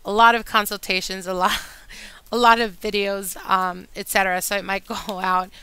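A woman reading tarot cards for her channel, chatty and addressing viewers directly, her voice a little hoarse from a long day. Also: mid-range voice, flat delivery, normal speed, dry recording, low-quality recording. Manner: chatty, warm address to viewers, whispers during shuffling. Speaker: tarot reader. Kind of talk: casual tarot reading monologue. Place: indoor table with candles and decor.

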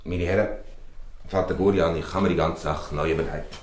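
A man plays a small-town mayor in a Swiss German radio drama, very low in voice. He is pompous yet easily cornered and flustered. The room around him echoes. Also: steady tone, fairly quick, heavy background noise. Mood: official, resolved. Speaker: actor in a radio play. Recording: roomy echo.

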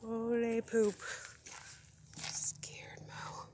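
Slow-talking woman on phone video. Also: fairly high pitch, completely flat delivery, whispered. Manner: slow, offhand, cursing and laughing. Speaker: vlogger exploring. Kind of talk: casual handheld vlog narration. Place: outdoors in open desert. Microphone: phone camera held by the speaker. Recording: outdoor phone audio with clear wind noise.

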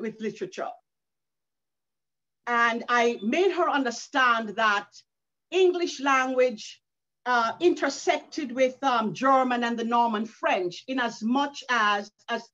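A woman's voice: on the high side, fairly bright tone. An older woman from the Caribbean, an author and educator, speaking earnestly on a video call from her living room, with frequent restarts. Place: living room at home, indoors. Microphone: video call webcam. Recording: dull lo-fi call audio.

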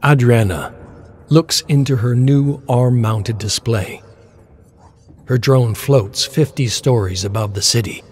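A man's voice: medium pitch, slow, darkish tone. Man narrating a dramatic story.

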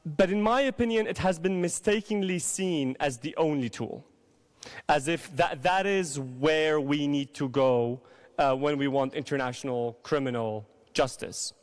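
A man's voice: fairly high pitch, measured pace. A man in his thirties from Syria, a legal counsel answering on a conference panel with a handheld mic, hesitant with many restarts and repeated words. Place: large conference hall. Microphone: handheld microphone.

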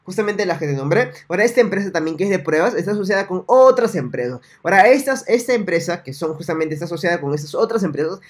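A young man in a small room, quickly pitching a way to earn money online in a repetitive, salesy tutorial straight to the viewer. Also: lively intonation. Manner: fast, salesy, repetitive, addresses viewer directly. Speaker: online money-making vlogger. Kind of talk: screen-recorded tutorial with webcam.

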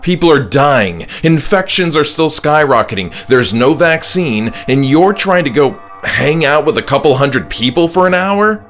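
A man's voice: fairly high pitch, very animated, unhurried, few pauses, a bit bright, pitched above the performer's own voice. A young man in his twenties, acted out by a man narrating, protective and thoroughly exasperated. He argues in an incredulous, pleading, sometimes sarcastic way, in a horror audiobook with music faintly behind. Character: exasperated twenty-five-year-old son, skeptical and protective.

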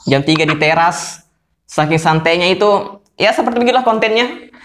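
A young man hosts a casual podcast call from a small room. His voice sits a little high and is slightly hoarse, and he talks in a relaxed, chatty vlogger way. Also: a little fast. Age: young adult. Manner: laid-back, chatty. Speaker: YouTuber hosting a podcast call. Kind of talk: casual vlog-style phone interview.

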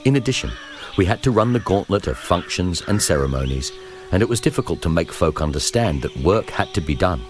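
A man reads a historical journal as scripted narration, in a measured, formal storytelling manner. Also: sits low, sing-song, slight background noise. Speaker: narrator reading a memoir.

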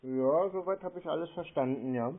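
A man with a fairly high voice speaks over a thin, narrow phone line. He is casual and deadpan, calmly needling whoever is on the call. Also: moderate pace. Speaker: prank call recipient baiting phone scammers. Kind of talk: prank phone conversation, casual. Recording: band-limited, tinny phone line.

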